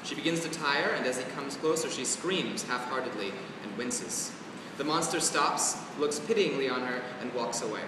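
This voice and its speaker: A man's voice: expressive, moderate pace, hardly any pauses. A man reading out stage directions as scripted narration at a staged play reading. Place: large reverberant indoor performance space, far mic. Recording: very echoey hall, distant mic, rumbling noise.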